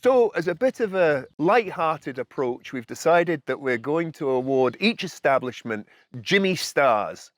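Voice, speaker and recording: A man's voice: on the high side, very animated, unhurried, no room sound, studio quality. A middle-aged man with a Scottish accent, giving a casual, explanatory talk to camera as a channel host. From Scotland. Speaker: host of a food and travel channel. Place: outdoors on a house balcony.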